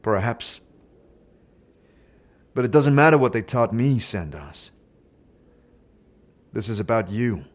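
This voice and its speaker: A man performing scripted narration and dialogue in an audio drama, in a measured, deliberate manner. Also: on the low side, big pitch swings.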